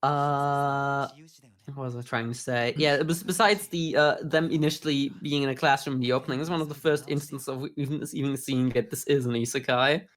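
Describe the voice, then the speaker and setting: Male podcast co-host with an average-pitched voice, riffing casually and sarcastically while reacting to anime. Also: fairly even intonation, fairly quick, a bit bright. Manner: sarcastic, joking.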